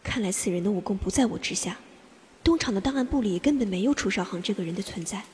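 A woman's voice: medium pitch, animated, fast, darkish tone, in a large hall, natural voice. An actress playing a determined young female assassin who speaks in a cold, clipped, resolute way. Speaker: voice actor. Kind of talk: Chinese audio drama acting.

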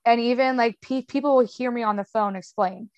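A young woman speaks casually as a podcast guest, with a clear stutter that repeats sounds and blocks on words. She talks frankly and chattily, full of fillers, in a fairly high voice. The recording is dry and close. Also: a little fast, darkish tone, no echo, highs cut off. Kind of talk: casual conversational interview.

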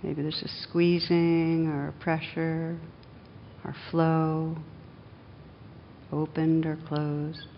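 A woman meditation teacher leading a guided meditation in a gentle, unhurried manner with long pauses, on a dull recording. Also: level tone. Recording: dull, muffled sound with faint rumbling noise.

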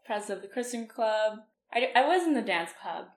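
A woman's voice: on the high side, expressive, fairly quick, echoey room. A woman as a podcast guest in casual chat, candid and laughing easily.

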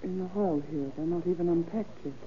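An actress playing a faded, anxious little housewife in an old radio crime drama, hesitant, flustered and often stammering. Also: female voice, lowish voice, brisk, a crowd in the background, close to an everyday voice. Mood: evasive. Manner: hesitant, stammering, repeats words. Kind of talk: 1930s-40s radio crime drama acting. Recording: old tinny radio recording with noise.

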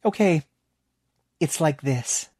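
A man's voice: a little high, animated, slow pace, warm tone, breathy edge, no room sound, studio quality, pitched above the performer's own voice, full character voice. Man doing a frantic young female student. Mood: urgent. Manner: breathless, pleading, rambling.

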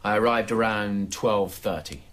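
An actor playing a mild, defensive gentleman in a radio drama, polite and reasonable as he explains himself. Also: fairly low pitch, steady tone, a little slow. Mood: casual explaining. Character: mild, defensive, well-mannered gentleman cousin.

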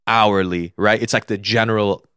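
A man's voice: on the low side, steady tone, normal speed, sharp tone, clean tone, no echo, clean studio sound. A man co-hosting a casual conversation, inquisitive and given to rephrasing things as he works through them. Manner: inquisitive, summarizes and rephrases what he hears. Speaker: podcast co-host asking questions.

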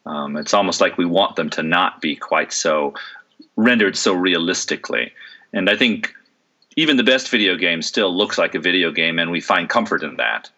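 A man's voice: sits low, normal speed, pushed and bright, lo-fi sound. A middle-aged man who works as a voice and stage actor, talking through an interview in a thoughtful way with many fillers and restarts. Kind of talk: relaxed interview conversation.

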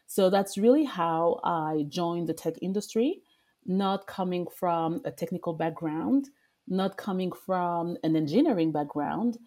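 A woman's voice: lowish voice, a little slow, mellow. A woman from France with a French accent, a podcast guest who speaks with frequent fillers and restarts. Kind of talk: podcast interview.

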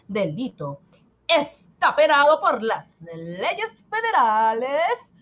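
Radio drama: sensational female newsreader, emphatic and sweeping in pitch, lo-fi band-limited sound. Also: full character voice. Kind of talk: dramatized news bulletin.

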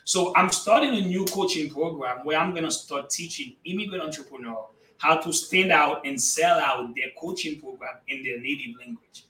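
A man from Haiti whose first language is Haitian Creole, giving emphatic, energetic motivational answers as a guest in an interview. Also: on the high side, normal speed, few pauses, reverberant, far from the mic, poor audio. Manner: energetic, emphatic, addresses listeners as guys. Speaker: guest entrepreneur.

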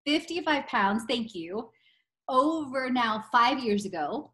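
A woman's voice: on the high side, expressive, takes it slowly, a bit bright. A woman in her forties from Poland, a podcast guest talking enthusiastically and laughing often as she chats. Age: 40s. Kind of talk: casual interview chat.

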